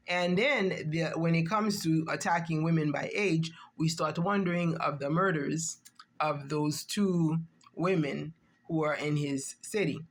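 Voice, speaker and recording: Emphatic woman commentator. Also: sits low. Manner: emphatic, rhetorical, checks in with listeners. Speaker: reaction commentator. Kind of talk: monologue commentary.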